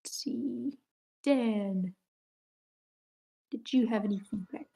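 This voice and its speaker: Higher-pitched, softly mellow woman moderating. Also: slow pace, creaky, husky. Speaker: host of an online screenplay table read. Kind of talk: casual moderating of group feedback.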